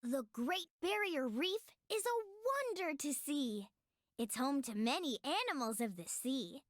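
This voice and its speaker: Small, sweet childlike game guide, played by a woman: high, unhurried, sing-song rhymes for preschoolers. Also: big pitch swings, no room sound, studio quality. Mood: sweet, cheerful. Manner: sing-song rhyming delivery. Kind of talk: scripted rhyming children's educational narration.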